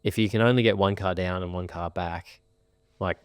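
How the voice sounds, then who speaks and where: A deep-voiced Australian man co-hosting a podcast, laying things out in an easygoing way with everyday examples. He speaks into a studio mic, and the sound is dry with no room echo. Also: fairly even intonation, moderate pace, clean tone. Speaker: podcast co-host and buyer's agent. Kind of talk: casual podcast chat.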